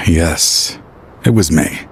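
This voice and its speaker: A man's voice: very deep voice, noisy background, exaggerated voice. An actor playing an aging, regretful lawman turned desperate villain in a video game, with a brooding, sighing delivery. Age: older. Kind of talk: dramatic video game voice acting.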